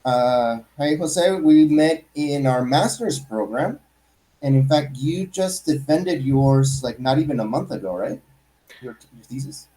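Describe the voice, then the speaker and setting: Guy hosting an interview show, mellow warm tone, easygoing questions. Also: mid-range voice, lively intonation, unhurried, poor audio. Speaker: host. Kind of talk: casual interview.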